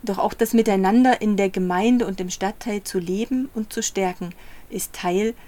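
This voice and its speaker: Calm woman narrating a report. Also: medium pitch, moderate pace. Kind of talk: scripted narration.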